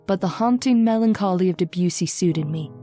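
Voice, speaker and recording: A woman's voice: fairly low pitch, brisk, mellow, lightly acted. Woman acting as a wistful sheltered young heroine, music behind. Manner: wistful, formal, dramatic storytelling. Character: sheltered, lonely, romantic twenty-one-year-old daughter narrating her tale. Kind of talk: old-time radio horror drama acting, first-person narration.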